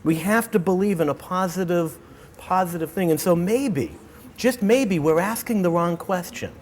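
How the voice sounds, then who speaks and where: Man, Boston accent, middle-aged, keynote speaker, animated, restarts a lot, slightly high voice. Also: moderate pace, slight background noise. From Boston, United States. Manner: animated, repeats words and restarts often. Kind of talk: energetic lecture to students. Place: auditorium.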